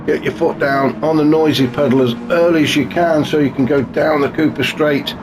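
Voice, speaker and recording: A man commentating a sim race in a chatty, wry way, his voice a bit gravelly and snuffly from a cold. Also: fairly high pitch, fairly even intonation, normal speed. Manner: chatty and wry, many fillers. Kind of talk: live sim racing commentary. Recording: noisy with car engine sounds behind.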